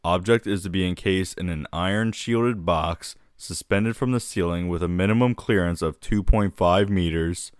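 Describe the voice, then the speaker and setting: A man's voice: low-pitched, normal speed, few pauses, clean tone, no room sound, studio quality. A man co-hosting a podcast in a casual, laid-back way, often calling his co-host dude.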